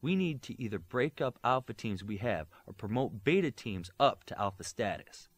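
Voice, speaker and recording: Man acting a formal, officious administrator, lifted pitch. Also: expressive, moderate pace, no echo, close to an everyday voice. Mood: earnest. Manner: formal, earnest. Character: polite, officious male administrator.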